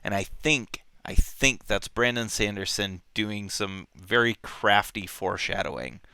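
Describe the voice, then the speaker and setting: A man's voice: lowish voice, measured pace, sharp tone, smooth, no room sound. A man casually discussing a book as a host, rambling and thinking aloud as he goes. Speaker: book club podcast host.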